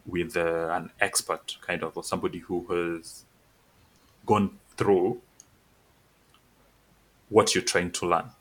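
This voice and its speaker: Man, podcast co-host, very low pitch, forceful tone, halting delivery. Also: fairly even intonation, rough recording. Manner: hesitant, many "uh" and "kind of" fillers. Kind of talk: casual chat.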